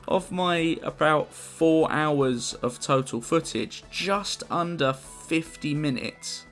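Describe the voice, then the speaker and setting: A young man recaps a game challenge in a bouncy, swooping voice pitched a little high, with a gravelly edge and a touch of hoarseness left over from the flu. He keeps the tone jokey and pokes fun at himself. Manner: animated, jokey, self-deprecating. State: slightly hoarse after flu. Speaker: gaming YouTuber. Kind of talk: recorded game challenge commentary.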